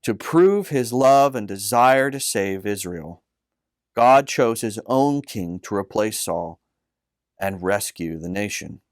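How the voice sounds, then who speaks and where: A man reading a scripted children's Bible story as its narrator, in a measured, earnest manner. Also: lowish voice, lively intonation, dry recording, clean studio sound.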